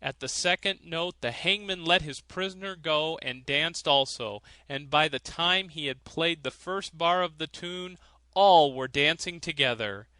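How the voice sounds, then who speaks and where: A man narrating a fairy tale audiobook in an expressive storytelling manner. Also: a little high, measured pace, no room sound.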